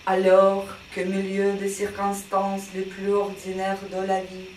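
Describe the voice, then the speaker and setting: Distant woman reading slowly, echoey. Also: medium pitch, monotone, darkish tone. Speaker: reader. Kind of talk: amateur story reading. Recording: distant, echoey, with traffic noise.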